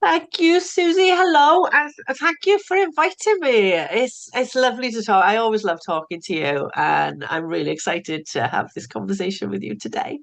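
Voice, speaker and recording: A woman's voice: a little high, normal speed, gravelly, hardly any pauses. Chatty Welsh woman, middle-aged. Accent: South Welsh. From Wales. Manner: warm, chatty, repeats words when starting a thought. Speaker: recovery coach, podcast guest. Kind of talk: casual interview chat.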